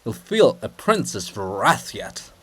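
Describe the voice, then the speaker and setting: A haughty princess of stone, as acted by a reader, exasperated and long-suffering. The delivery is melodramatic and imperious, swinging widely in pitch as in a stage farce. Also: big pitch swings, slow, husky, heavily acted. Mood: pleading, scolding. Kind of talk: dramatic stage reading of a farce.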